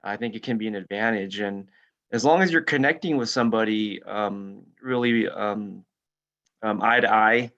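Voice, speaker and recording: A man's voice: lowish voice, normal speed, a bit bright, lo-fi sound. A man joining a group call to give friendly, supportive advice. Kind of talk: casual group discussion.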